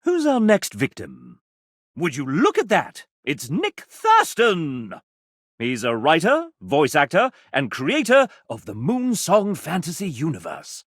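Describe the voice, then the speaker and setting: Flamboyant, sassy gentleman narrator, wildly swooping. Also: on the high side, wildly swooping pitch, slow, dry recording, clean studio sound, exaggerated voice. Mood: sassy, wry. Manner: flamboyant, arch, mock-genteel, sardonic. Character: sassy, theatrical gentleman game-show style narrator of a dating game. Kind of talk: theatrical scripted video game narration.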